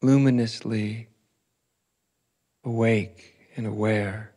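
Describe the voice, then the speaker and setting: A man leading a guided meditation as a teacher, speaking gently in short, spaced phrases with long pauses between them. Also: fairly low pitch, fairly even intonation.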